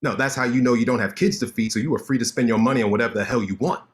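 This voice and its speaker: A man reads a boastful, sharp-tongued lawyer who needles his old friend without letup. His delivery is quick and bantering, with a mid-range male voice. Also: mid-range voice, natural voice. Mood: teasing. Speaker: actor in a staged reading. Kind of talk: staged play reading.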